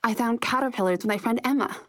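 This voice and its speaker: A woman's voice: fairly high pitch, quick pace, a bit bright, natural voice. A woman narrating an audiobook as a grown woman recalling her childhood in short, plain, wistful sentences. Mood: plain, reflective.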